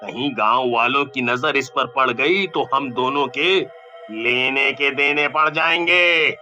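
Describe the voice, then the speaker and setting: Casting: sleazy, jumpy young village crony, acted by a man, piercingly bright voice, unhurried, for a cartoon. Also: mid-range voice, faint music behind. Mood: panicked. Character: sleazy young village sidekick, scheming yet easily panicked. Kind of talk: Hindi cartoon story voice acting.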